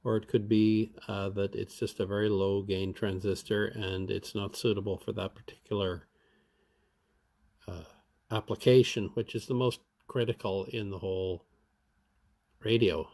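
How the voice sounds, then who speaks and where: A man giving unscripted, step-by-step commentary as a hobbyist repairer, halting as he thinks aloud. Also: fairly low pitch, little pitch movement, gravelly, a little mellow.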